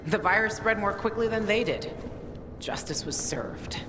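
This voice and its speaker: A woman's voice: medium pitch, animated, a little slow, very noisy, natural voice. An actress playing a tough, blunt, bitter young woman fighter in a video game. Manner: blunt, defiant. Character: tough, foul-mouthed, bitter female fighter in a ruined world. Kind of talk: cinematic video game acting. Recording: dramatic game music behind.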